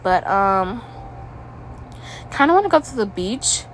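A woman's voice: medium pitch, big pitch swings, measured pace, a little hiss or hum, rough recording. A young woman with a stuffy nose, rambling casually at home as a podcast host, tripping over her words and swearing now and then.

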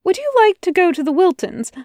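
A fussy, excitable male angel, as a woman reader puts him on, chattering eagerly about old books in a voice pitched well above her own. He trips over himself when flustered. The sound is close and dry, with no room around it. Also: a little fast, no echo, full character voice.

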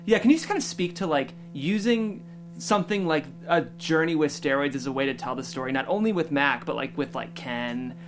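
Male interviewer, casual, quick and animated, faint music behind. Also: on the high side. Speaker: show host, interviewer.

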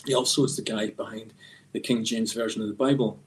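A man talking as a guest artist on a podcast, telling stories in a halting, reflective, discursive way. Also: fairly low pitch, steady tone, a little hiss or hum. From Scotland. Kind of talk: conversational storytelling in a podcast interview.

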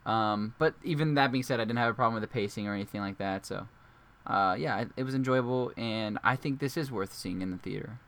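Bright-voiced loud male podcast host. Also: lowish voice, brisk. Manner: loud, jokey, laughs often. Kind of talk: casual podcast chat.

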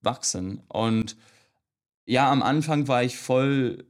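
Young male coach, guest chatting loosely in an interview; halting delivery, frequent restarts, average pitch. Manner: hesitant, many restarts and fillers, mixes in English words. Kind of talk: casual interview talk.

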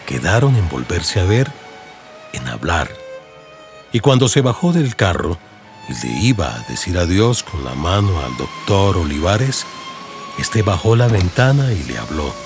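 Low, expressive Colombian male narrator. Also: measured pace. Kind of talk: dramatic scripted audiobook narration. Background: music.

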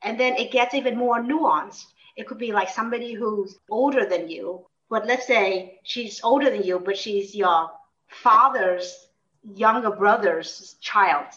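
A woman's voice: medium pitch, measured pace, a bit bright. Middle-aged woman, distant and muffled. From born in Vietnam until twelve, then United States. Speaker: interviewed memoir author. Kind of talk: conversational interview answers. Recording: distant mic, dull muffled sound.

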